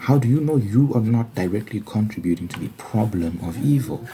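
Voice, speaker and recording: A man's voice: fairly low pitch, expressive, a little fast, warm tone, slight background noise. A man giving an informal ASMR-style Bible commentary, arguing his points emphatically with rhetorical questions. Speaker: host of an ASMR Bible study channel.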